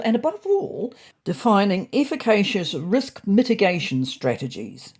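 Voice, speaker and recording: A woman's voice: fairly low pitch, warm tone. A woman storyteller reading a scripted comic first-person story in a dry, mock-serious manner.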